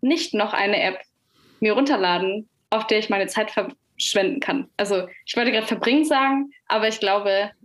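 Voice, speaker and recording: A woman's voice: medium pitch, normal speed. A young woman from Germany with a Franconian German accent, talking casually as a musician guest on a podcast, with lots of fillers and easy laughs. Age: young adult. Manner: chatty, frequent fillers, laughs easily. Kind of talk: casual interview chat.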